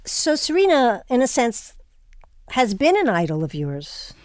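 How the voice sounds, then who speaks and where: A woman hosting an interview, putting prepared questions in a measured, polished way. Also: fairly high pitch, sing-song, a little mellow, dry recording. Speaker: interview host.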